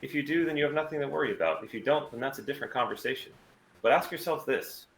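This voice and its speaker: A man's voice: mid-range voice, animated, fast, vocal fry, fairly bright tone. A man playing a confident, evasive mercenary pilot with a cool, clipped delivery in a sci-fi table read. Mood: firm, challenging. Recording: roomy echo with noticeable background noise.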